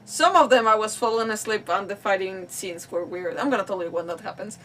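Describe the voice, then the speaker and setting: A woman's voice: mid-range voice, a little fast, a bit bright, far from the mic. A woman hosting a casual live stream, chatting in a rambling, apologetic, self-deprecating way. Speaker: livestream host.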